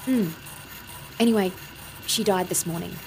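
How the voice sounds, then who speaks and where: An actress playing a withdrawn, guarded young woman, speaking flatly and reticently in naturalistic audio drama dialogue. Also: medium pitch, normal speed, natural voice, low-quality recording. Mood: numb, subdued.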